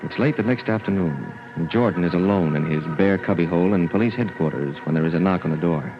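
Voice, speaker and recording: An actor as the solemn, measured narrator of a murder mystery in 1940s radio style. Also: male voice, low-pitched, natural voice. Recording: dull old radio, loud music behind.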